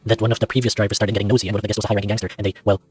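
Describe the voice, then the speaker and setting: Man doing a low, gruff, taciturn dragon captain. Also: rapid-fire, no echo, faint music behind. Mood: curt, guarded. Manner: clipped, reluctant, deadpan.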